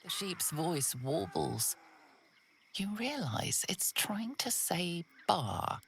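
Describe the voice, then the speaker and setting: A woman playing an ominous, knowing storyteller, giving measured, hushed, dramatic narration for a video game. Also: fairly low pitch, big pitch swings, slight background noise, heavily acted. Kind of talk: dramatic second-person scripted narration. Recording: game narration over clear music.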